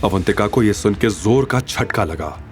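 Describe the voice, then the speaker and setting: A man's voice: lowish voice, lively intonation, normal speed. A man narrating a scripted audio drama story in a dramatic style. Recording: faint music behind.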